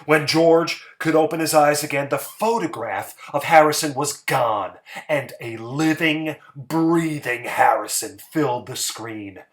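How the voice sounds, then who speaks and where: A man giving a dramatic story narration, theatrical and emphatic, with pauses for effect. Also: on the high side.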